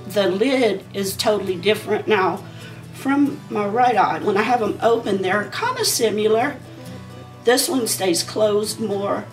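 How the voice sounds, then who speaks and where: A woman in her forties, a beauty vlogger, chatting casually and rambling while she does her makeup, her voice a bit hoarse from a sinus issue. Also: medium pitch, recorded from a distance, rough recording. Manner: rambling and conversational, talking while applying makeup.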